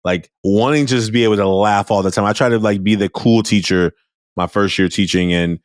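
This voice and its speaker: A male teacher with a loud voice, talking candidly and telling lively stories in a conversation over a video call. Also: lowish voice, brisk, smooth, dry recording, studio quality. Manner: animated storyteller, acts out quoted students.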